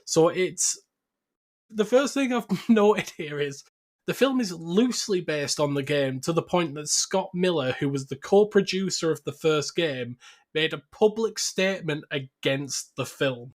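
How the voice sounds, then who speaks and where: A man's voice: a little high, animated, measured pace. A man talking casually as a podcast host about film, opinionated and swearing freely.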